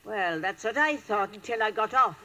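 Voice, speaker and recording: Bright, swooping landlady. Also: mid-range voice, lively intonation, moderate pace. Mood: indignant. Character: huffy, coy older Irish landlady. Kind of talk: 1940s radio comedy acting. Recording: faintly noisy old radio recording.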